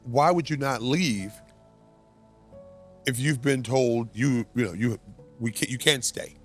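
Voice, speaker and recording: A man's voice: mid-range voice, measured pace, soft background music. A man interviewing a guest for a news outlet, asking conversational questions and nudging along with short acknowledgements, recorded at a law office.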